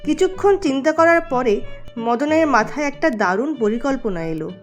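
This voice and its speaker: A woman's voice: high-pitched, lively intonation, normal speed, soft background music. A woman narrator reading a scripted storybook-style tale for a cartoon.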